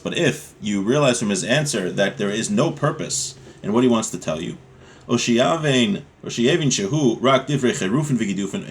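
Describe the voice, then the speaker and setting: A man teaching from a religious law book, reading each passage in Hebrew and then explaining it in an easygoing, conversational way. His voice sits at an ordinary middle pitch for a man. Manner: reads Hebrew aloud then translates in casual speech. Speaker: Torah teacher.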